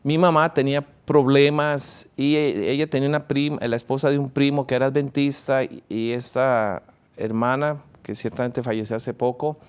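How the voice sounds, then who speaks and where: A man from Costa Rica, a theologian guest in an interview, speaking in measured answers with frequent hesitations. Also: mid-range voice, steady tone, smooth. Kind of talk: interview answers, recounting his life.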